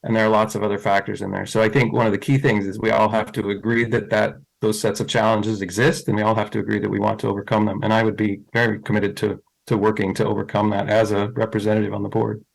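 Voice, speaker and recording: Man, middle-aged, slightly low voice, candidate at a forum, thoughtful, restarts often. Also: flat delivery, fast, creaky, hardly any pauses, low-quality recording. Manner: thoughtful, with frequent fillers and restarts. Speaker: school board candidate.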